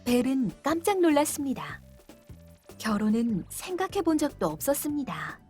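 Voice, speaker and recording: A woman's voice: high voice, very animated, moderate pace, faint music behind. Woman narrating a children's fairytale. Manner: clear, gentle read-aloud. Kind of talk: scripted storybook narration.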